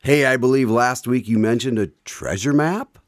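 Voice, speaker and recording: An actor playing an affable, folksy radio show announcer and host in the old-time style of a radio drama. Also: male voice, mid-range voice, moderate pace, no room sound, studio quality, lightly acted. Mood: friendly, curious.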